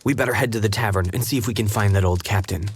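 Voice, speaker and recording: An actor playing a confident detective who lays out plans in a steady, decisive voice. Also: male voice, lowish voice, quick pace, over loud music, natural voice. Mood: determined. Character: calm male detective, confident and methodical. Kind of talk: game cutscene voice acting.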